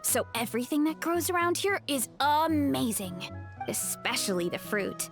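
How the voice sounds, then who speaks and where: A woman's voice: high voice, big pitch swings, moderate pace. A woman playing a cheerful, hearty young farm girl in a video game, chatting in a sweet, easygoing way. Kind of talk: video game character dialogue. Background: music.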